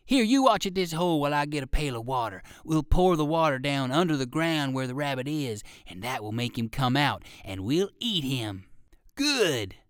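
A man voicing savage hunting dogs in a storybook, barking and shouting in a high, loud voice. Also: very animated, moderate pace, clean tone, no echo, clean studio sound. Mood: eager. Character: savage barking male dogs, greedy hunters.

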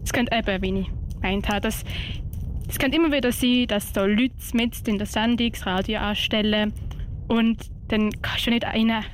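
A woman's voice: on the high side, fairly even intonation, normal speed, a little hiss or hum. A woman hosting a radio show, explaining things patiently in casual banter.

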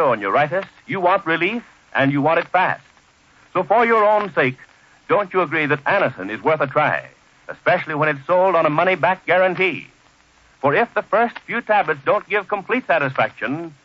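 An American man announcing in old-time radio style, reading a script with emphatic, formal salesmanship. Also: fairly high pitch. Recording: dull old radio recording.

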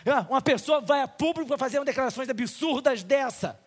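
A man's voice: very high voice, a little fast, long reverb tail. A male pastor with a Brazilian Portuguese accent preaching a sermon in a church, full of rhetorical questions and acted-out little dialogues.

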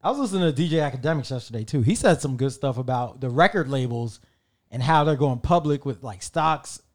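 A man's voice: medium pitch, lively intonation, a little fast. A man hosting a podcast, chatting casually and laughing while setting up gear in a small closed room. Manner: laid-back, laughs often, fiddles with gear while talking. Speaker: podcast host. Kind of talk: casual chat before recording. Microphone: headset studio mic.

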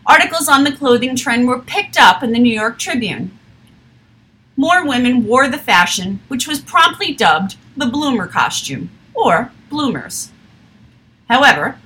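A woman reading a history text aloud in a steady, measured way, with an occasional slip. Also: sits high, low-quality recording.

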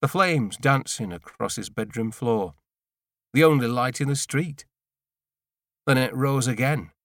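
A man reading a first-person literary audiobook in a measured way, in short deliberate phrases. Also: mid-range voice, a bit bright, no room sound, clean studio sound. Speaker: audiobook narrator.

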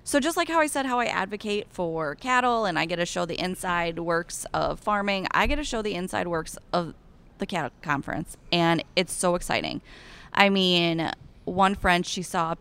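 A woman's voice: on the low side, normal speed, clean tone. A young woman with an Iowan accent, chatting enthusiastically as an interview guest about being a cattle ambassador. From Iowa. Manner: bubbly and enthusiastic, gushing with excitement. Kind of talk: casual interview chat.

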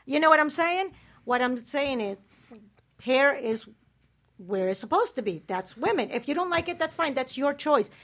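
A woman hosting a radio talk show, brash, loud and profane in casual chat. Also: high-pitched, lively intonation, normal speed.

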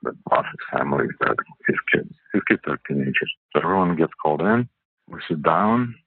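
A Russian-born architect raised in Canada, recounting events in a halting way with many fillers, his voice husky. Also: rumbling low, animated, no echo. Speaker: architect guest. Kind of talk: storytelling interview answer. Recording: phone-like narrow audio.

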